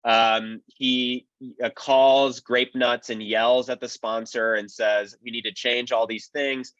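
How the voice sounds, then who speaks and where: Man, mid-pitched, analytical panel commentary, informal, explanatory, dry slightly muffled sound. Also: level tone, slow pace, fairly bright tone, dry recording. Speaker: panelist, old-time radio historian.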